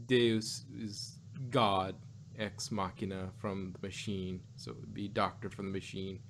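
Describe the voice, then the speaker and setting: A man's voice: deep voice, level tone, a little slow, gravelly, noisy background. A man co-hosting a review podcast, chatting casually, joking and laughing often as he rambles. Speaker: podcast co-host reviewing an audio drama.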